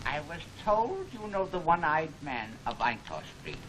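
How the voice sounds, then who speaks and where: Film serial man: formal, inscrutable, polite; high-set, lively, measured delivery over noisy old soundtrack. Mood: polite, probing. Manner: formal, measured, proverb-quoting. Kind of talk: 1940s film serial acting.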